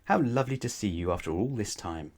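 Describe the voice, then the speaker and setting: Man doing a jolly greedy storybook gentleman. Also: lowish voice, lively intonation, quick pace. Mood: welcoming.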